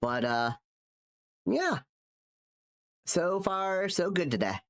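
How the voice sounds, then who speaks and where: Lively-pitched man, nasal from a cold. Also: slow pace. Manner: rambling, restarts sentences often. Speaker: streamer and artist. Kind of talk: casual live-stream chat while drawing.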